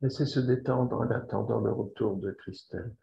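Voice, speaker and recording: A man leading a guided yoga relaxation as the instructor, speaking deliberately and repeating phrases for emphasis. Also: medium pitch, steady tone, creaky, mellow. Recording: roomy echo, slightly dull sound.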